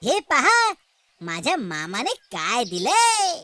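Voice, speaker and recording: A performer voicing a boastful, competitive little child in a children's cartoon, with an excitable, bragging way of talking. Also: medium pitch, slow pace. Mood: proud. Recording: loud music behind the voice.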